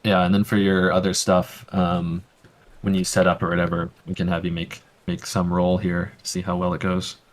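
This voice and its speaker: A man's voice: low-pitched, monotone, normal speed. A man working as game master, explaining the rules in a casual way with many fillers. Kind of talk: narrating a tabletop game.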